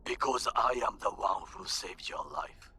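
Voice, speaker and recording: Stern game fixer, very husky and gravelly, forceful edge, formal, clipped, commanding. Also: male voice, sits low, expressive, measured pace, no room sound, exaggerated voice. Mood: stern, solemn. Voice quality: raspy, gravelly. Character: stern, solemn older male fixer calling to demand a meeting.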